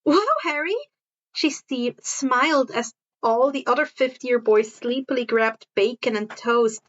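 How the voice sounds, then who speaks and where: A woman with a high voice narrates fan fiction from a script. She now and then trips on a phrase and reads it again. The home recording is a little dull and lo-fi. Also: steady tone, unhurried. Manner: stumbles and rereads phrases. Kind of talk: amateur scripted story narration.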